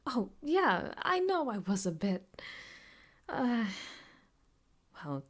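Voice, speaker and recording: A woman playing an obsessive, possessive yandere ex-girlfriend in an improvised ASMR roleplay, speaking intimately and haltingly, often slipping into whispers. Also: a little high, very animated, exaggerated voice. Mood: defensive, jealous. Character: obsessive, possessive yandere ex-girlfriend holding her captive lover.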